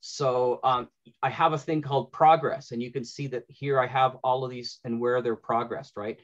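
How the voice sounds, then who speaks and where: A man's voice: medium pitch, fairly even intonation, moderate pace. A middle-aged man hosting an informal panel, thinking aloud in a conversational way over a lo-fi video call. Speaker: panel host and audio drama writer. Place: indoor room at home, on a video call. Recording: dull lo-fi video call audio.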